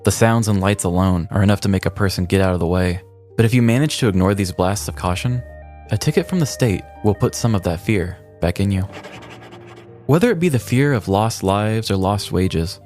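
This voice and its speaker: Deep-voiced man narrating over music. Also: lively intonation, brisk, darkish tone. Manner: mock-dramatic, tongue-in-cheek storytelling. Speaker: podcast host. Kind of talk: scripted comedic narration.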